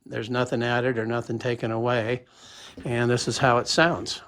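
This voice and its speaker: A man's voice: mid-range voice, level tone, moderate pace, fairly bright tone, roomy sound, recorded from a distance, a little hiss or hum. A man casually reviewing a microphone, talking plainly and counting off mic tests. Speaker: gear reviewer. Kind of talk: casual product demo with mic checks.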